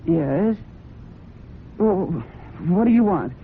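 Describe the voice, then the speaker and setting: A woman's voice: lowish voice, lively intonation, extremely slow, creaky, heavily acted. A woman playing an anxious, guarded young woman with a guilty secret in a 1970s radio drama, stammering defensively. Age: young adult. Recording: tinny band-limited old radio recording, light noise.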